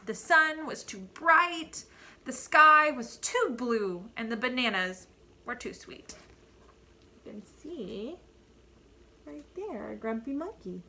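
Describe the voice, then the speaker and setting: A woman reads a children's picture book aloud in a teacherly way, adding small asides for the listeners. Her voice is higher and very bright, with animated pitch and deliberate emphasis. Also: a little hiss or hum.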